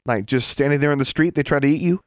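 Storybook schoolboy adult male reader, pitched up, skeptical, colloquial, questioning. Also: quick pace, dry recording, studio quality, natural voice. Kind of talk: storybook character voice.

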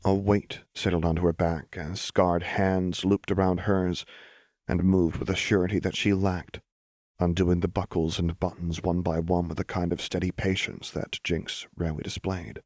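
A man's voice: low-pitched, little pitch movement, normal speed, a little mellow, dry recording, clean studio sound. A man reading a dramatic story aloud as scripted audiobook narration. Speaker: audiobook reader.

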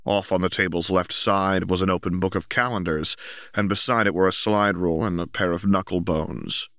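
A man's voice: low-pitched, steady tone, moderate pace, fairly bright tone, no echo, clean studio sound. A man reading scripted story narration as an audiobook narrator.

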